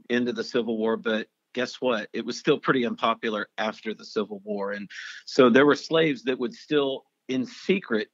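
An earnest man tells an emotional personal story in a medium voice, often restarting and repeating words. The recording is close and dry, with muffled, dull highs. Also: no room sound. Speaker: storyteller guest. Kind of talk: personal testimony interview.

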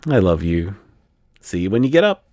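A man's voice: low voice, very animated, normal speed, warm tone, dry recording, clean studio sound. An actor voicing a shy, affectionate young man who leaves rambling, self-deprecating voicemails, tipsy in parts of the scene. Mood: fond. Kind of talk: audio drama voicemail monologue.

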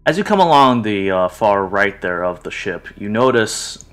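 A man's voice: fairly low pitch, very animated, moderate pace, a bit bright. A man narrating an online tabletop roleplaying game as game master, describing scenes and instructing players. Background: music.

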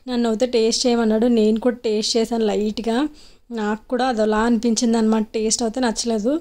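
A woman's voice: on the high side, little pitch movement, fast, mellow. A young adult woman narrating her family outing in a casual, chatty vlog voice-over. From Andhra Pradesh, India. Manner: chatty, filler-heavy storytelling. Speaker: vlogger.

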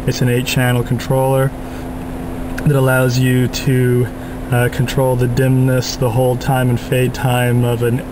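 Flat-voiced young man with a droning hum behind. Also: mid-range voice, a little slow. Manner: flat, monotone delivery with many fillers. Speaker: product demonstrator. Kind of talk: casual product demo narration. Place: underground parking garage.

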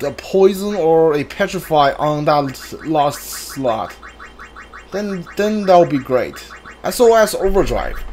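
A gamer giving casual playthrough commentary, thinking aloud in halting fragments over a game. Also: mid-range voice, darkish tone, slight background noise. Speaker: gamer, streamer. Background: game music.

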